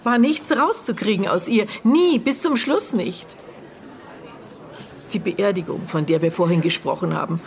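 An actress playing a middle-aged, bitter and guarded aunt in a naturalistic radio drama, speaking in terse, halting phrases as she searches her memory. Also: medium pitch, expressive, vocal fry, a little mellow. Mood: bitter, incredulous. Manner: terse, halting, broken phrases with thoughtful pauses.

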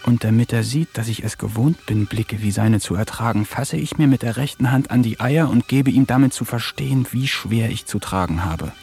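A man's voice: mid-range voice, moderate pace, vocal fry, hardly any pauses, a little mellow, faint music behind, natural voice. An actor playing an eccentric young poet who narrates his diary in a dry, ironic manner in a radio play. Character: eccentric young male poet, wants to talk with animals.

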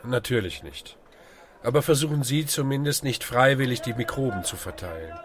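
An actor playing a calm, wry, probing volunteer leader, speaking with dry irony in a radio drama. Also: male voice, medium pitch, normal speed, close to an everyday voice.

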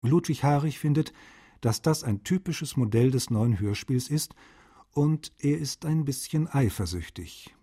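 A man reading a letter aloud in a scripted, measured documentary style. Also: mid-range voice, lively intonation, dark tone, breathy edge.

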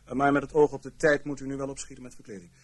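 A man's voice: mid-range voice, flat delivery, very fast, natural voice. A man acting in a Dutch radio play as a stern, sardonic intelligence chief, with clipped authority and dry sarcasm. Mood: coolly commanding. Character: stern, commanding spy chief, coolly sardonic official.

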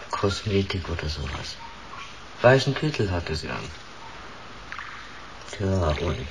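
An actor playing a nosy, knowing neighbour who gossips drily in short folksy sentences, in a German radio drama. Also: male voice, deep voice, background noise. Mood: chatty, offhand. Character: nosy, talkative neighbour who sees everything, drily amused.

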